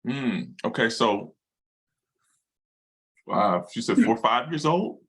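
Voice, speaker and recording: A man's voice: mid-range voice, expressive, takes it slowly, a bit bright, husky. A man interviewing a guest about her history, asking questions with many hesitations and restarts.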